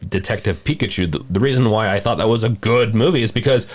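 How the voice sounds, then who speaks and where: A man's voice: fairly low pitch, fast. A man co-hosting a podcast, offering his opinions in casual conversation. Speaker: podcast co-host.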